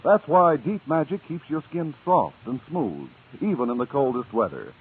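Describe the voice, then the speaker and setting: American male announcer, raspy tinny old radio. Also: medium pitch, big pitch swings, moderate pace. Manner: polished, emphatic sales delivery. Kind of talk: 1940s-50s scripted radio advertisement.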